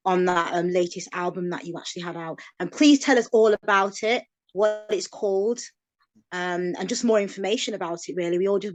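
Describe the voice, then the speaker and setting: A woman co-hosting an interview, asking questions in an enthusiastic, encouraging way. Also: mid-range voice. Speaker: co-host.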